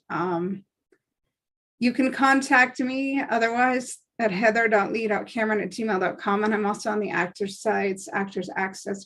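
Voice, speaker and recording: Conversational woman with a mid-pitched voice. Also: a bit bright. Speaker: actor and writer. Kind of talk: casual self-introduction and chat.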